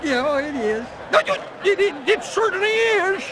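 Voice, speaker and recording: A man's voice: very high voice, very animated, moderate pace, background noise. A man playing a bumbling, stammering toady of a sheriff with a Southern US accent in a game. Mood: flustered. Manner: stammering, whiny, flustered.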